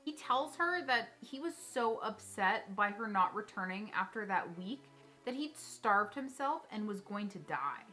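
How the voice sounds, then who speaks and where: A woman hosting a video, telling a story and commenting in a casual, expressive, chatty way. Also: mid-range voice, a little slow. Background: background music.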